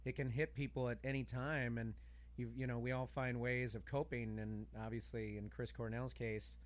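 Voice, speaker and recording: A man's voice: medium pitch, level tone, normal speed. A man chatting casually as a podcast guest, earnest and conversational, piling up points as he goes. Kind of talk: casual chat.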